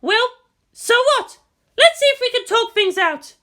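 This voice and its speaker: A narrator voicing a brisk, defiant woman military commander in a story. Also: very high-pitched, expressive, sharp tone, pitched above the performer's own voice, exaggerated voice.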